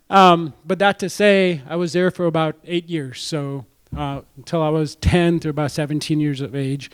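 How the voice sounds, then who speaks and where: Chatty man speaking to a meeting room. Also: fairly high pitch. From India. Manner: chatty, frequent "right?" asides. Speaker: guest speaker. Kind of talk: casual talk to a club audience.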